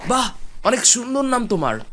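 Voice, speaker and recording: A man's voice: extremely high pitch, very animated, moderate pace, bright, forceful tone, slight background noise, heavily acted. A man playing a courteous, questioning well-off traveling husband in a cartoon. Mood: appreciative. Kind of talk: cartoon voice acting.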